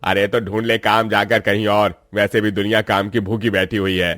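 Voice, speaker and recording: A man voicing a harsh, stingy mill owner with a gruff, bullying manner. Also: fairly low pitch, flat delivery, normal speed, a bit bright, no echo, clean studio sound, faint music behind, voice pushed down, natural voice. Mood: dismissive. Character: harsh, stingy, scolding male mill boss.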